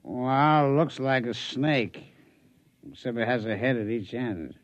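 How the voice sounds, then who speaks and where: An actor playing a skeptical, grumbling, somewhat pompous hard-drinking hunter with a dry comic manner, in a dull-sounding 1950s radio comedy. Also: male voice, mid-range voice, unhurried, no room sound, close to an everyday voice.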